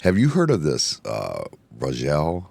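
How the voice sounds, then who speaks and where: A hoarse man hosting a casual bar-talk show, rambling and excitable, often repeating himself. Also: very deep voice, unhurried, no room sound. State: hoarse from yelling. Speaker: podcast host. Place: indoor room, bar setting.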